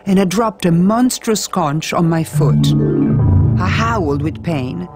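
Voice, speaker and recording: A woman reading an audiobook as an earnest twenty-eight-year-old island woman writing an intimate letter. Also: fairly low pitch.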